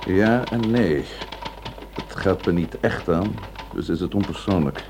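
An actor playing a dry, understated and persistent police inspector in a radio drama. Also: male voice, low-pitched, a little slow. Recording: noisy old radio play recording.

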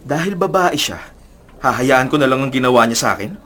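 A man's voice: medium pitch, expressive, fairly quick. A man playing a cocky, vengeful young student in a radio drama, with a smug, slangy manner. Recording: loud music behind the voice.

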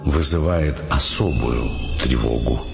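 An actor as the wry, measured narrator of a radio play, reading scripted prose. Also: male voice, very deep voice, gravelly, full character voice. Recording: echoey hall, dull sound, music behind. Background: music.

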